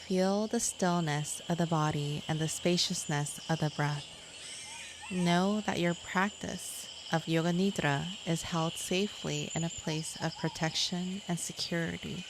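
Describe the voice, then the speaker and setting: A woman guiding a yoga nidra relaxation in a measured, soothing manner, as a scripted meditation. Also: fairly low pitch, fairly even intonation. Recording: clear insect and nature noise behind.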